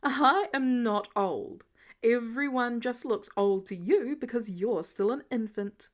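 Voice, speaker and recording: A woman's voice: fairly high pitch, takes it slowly. A woman voicing a flippant, childish grown man, a genius billionaire boss, in an audiobook-style story reading. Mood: indignant.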